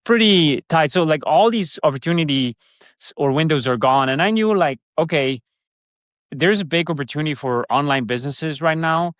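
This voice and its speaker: A man's voice: on the high side, normal speed, fairly bright tone, no echo. A man from Switzerland with a Swiss German accent, a guest in a casual interview, rambling with restarts and fillers.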